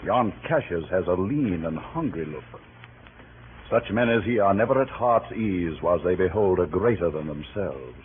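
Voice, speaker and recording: Haughty Roman ruler, regal, widely swinging intonation, old radio drama, tinny band-limited recording. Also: male voice, deep voice, animated, moderate pace, noisy background. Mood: wary, haughty. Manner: pompous, regal. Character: imperious, wary, proud Roman ruler. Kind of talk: 1930s radio Shakespeare acting.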